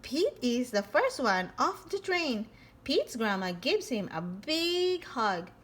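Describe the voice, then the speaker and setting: A woman reading a children's picture book aloud in an expressive, animated storytelling manner. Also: on the high side, slow pace, breathy edge. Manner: expressive, with animated character lines.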